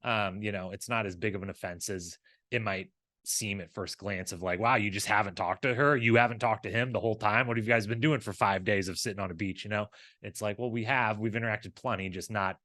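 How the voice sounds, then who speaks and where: A man's voice: fairly low pitch, steady tone, brisk, sharp tone, no room sound, clean studio sound. A man co-hosting a recap show with casual, conversational commentary, rambling along and often acting out imagined dialogue.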